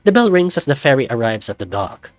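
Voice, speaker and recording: A man narrating a visual novel in the second person in a lively storytelling manner. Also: mid-range voice, fast, no room sound, faint music behind.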